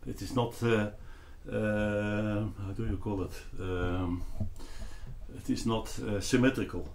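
An older man with a Dutch accent explains a product off the cuff, haltingly searching for words. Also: on the low side, steady tone, a little mellow, slight background noise. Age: 60s or older. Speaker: loudspeaker designer presenting his product. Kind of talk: unscripted explanatory product talk. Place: carpeted, wood-panelled listening room indoors.

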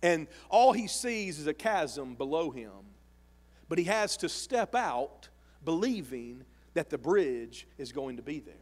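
A man in his forties preaching a sermon on a church stage into a handheld mic, animated and emphatic, rising to shouts. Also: fairly high pitch, a little slow. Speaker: pastor. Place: large church sanctuary stage with audience. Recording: long hall reverb.

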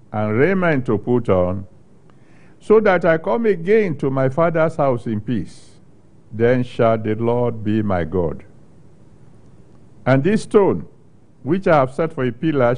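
An older man from Nigeria preaching a sermon and reading scripture, speaking slowly and deliberately with long pauses into a handheld microphone on a studio stage. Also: medium pitch, a little mellow. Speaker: pastor. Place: studio stage with a large screen behind.

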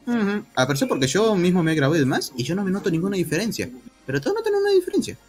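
An Argentine man calling into a stream's voice chat. He talks in a relaxed, joking way. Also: sits high, rapid-fire, slightly rough, soft background music. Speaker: caller guest. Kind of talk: casual voice-chat talk.

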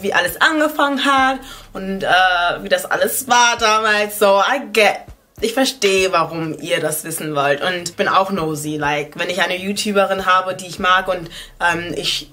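A woman's voice: mid-range voice, fairly even intonation, moderate pace, pushed and bright. A woman in her twenties, from Ghana, chatting casually to camera at home as a vlogger, dropping playful English asides. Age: 20s. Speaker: beauty and lifestyle vlogger. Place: living room at home, indoors.

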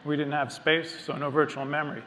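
Middle-aged male presenter, measured and explanatory, slightly high, flat delivery, in a reverberant hall. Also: slight background noise. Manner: measured, explanatory, with frequent ums and restarts. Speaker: engineering lead presenting on stage. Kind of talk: technical keynote talk. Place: large dark stage before a seated audience. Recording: long hall reverb, faint ambience.

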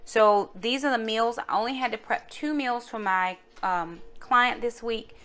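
A woman's voice: a little high, a little slow, fairly bright tone. A woman home cook talking casually to her viewers as she narrates her vlog in a kitchen. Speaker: home cooking vlogger.

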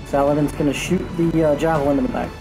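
A man's voice: fairly high pitch, fairly even intonation, fast talker, creaky, music in the background, poor audio. A man playing a tabletop game, talking casually as he announces his moves.

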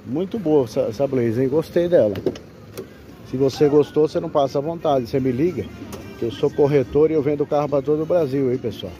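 A man's voice: mid-range voice, a little fast, dark tone. A Brazilian man giving a casual, repetitive sales patter as a used car broker filming a walkaround for viewers. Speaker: used car broker presenting a vehicle for sale. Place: outdoors at a roadside car fair. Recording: outdoor street noise, faint traffic.

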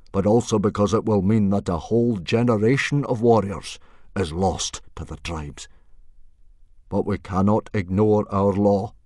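Man playing a Viking chief, middle-aged, grim, bellowing, very deep, dry close voice. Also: a little mellow, no echo.

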